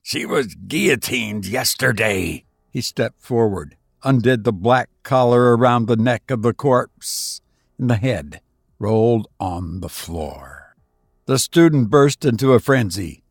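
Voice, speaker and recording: A man narrating an old literary story as an audiobook reader, in a measured, solemn storytelling manner. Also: mid-range voice, animated, no echo, studio quality.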